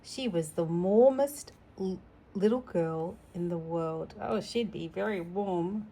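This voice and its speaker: A woman's voice: on the low side, slow, dark tone, slight background noise, poor audio. A woman reading a picture book aloud to a young child, expressively, with chatty asides. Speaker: storytime reader.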